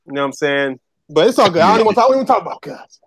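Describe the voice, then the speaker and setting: A man's voice: sits high, very animated, rapid-fire, a bit bright, slightly rough, no room sound, clean studio sound. A man co-hosting a podcast in casual gaming chat, laid-back and slangy, often stammering on his words. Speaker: podcast co-host.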